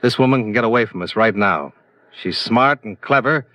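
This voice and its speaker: An actor in a 1950s radio drama playing a blunt, hard-boiled insurance investigator who talks in a terse, matter-of-fact way. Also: male voice, fairly low pitch, normal speed, a bit bright, no room sound, natural voice. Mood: pressing, blunt. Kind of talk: 1950s radio detective acting. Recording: dull vintage radio recording.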